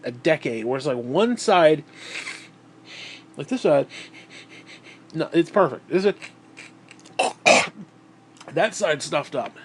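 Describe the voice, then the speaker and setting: A man's voice: on the high side, expressive, takes it slowly, pauses often, a little hiss or hum. A man with a stuffed-up, nasal voice, talking in a bitter, profane, rambling monologue into a tablet. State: stuffed-up nose. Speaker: vlogger.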